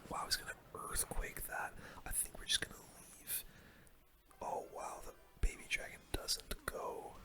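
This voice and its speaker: A gaming host whispering ASMR commentary in a breathy, unvoiced whisper, with relaxed, casual gamer slang. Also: a little slow.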